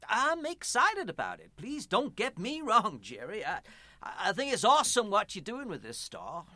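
Bright and expressive, this is a kindly pastor acted by a man in a naturalistic radio play. He stammers and placates, gently avoiding any commitment. The sound is dry and close. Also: high voice, normal speed, dry recording, heavily acted. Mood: placating, encouraging. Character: evasive, kindly church pastor, gently noncommittal. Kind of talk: naturalistic radio drama dialogue.

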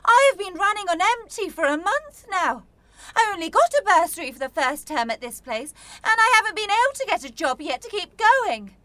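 A woman's voice: very high voice, sing-song, a little fast, bright, forceful tone, no room sound, heavily acted. A woman playing a scatty, overworked young student in a radio play, breezy, blunt and rambling as she talks. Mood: excited, relieved. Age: young adult. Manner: breezy, rambling, self-interrupting. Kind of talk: naturalistic radio play acting.

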